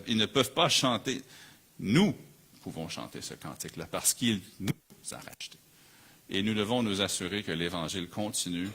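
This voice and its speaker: A man's voice: lowish voice, fairly bright tone. A man with a Quebec French accent preaching a sermon as a pastor, earnest and emphatic, building his points through repeated phrases. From Canada.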